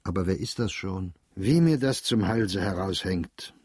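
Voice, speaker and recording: Male narrator, husky and low, dry close studio sound, calm reflective diary reading. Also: no echo. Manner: measured, reflective, understated. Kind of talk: calm literary reading for radio drama.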